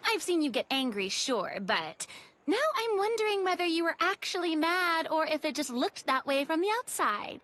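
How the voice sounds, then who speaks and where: Bright teasing young woman. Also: very high-pitched, big pitch swings, normal speed, heavily acted. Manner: breezy, teasing, curious. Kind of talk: game cutscene voice acting.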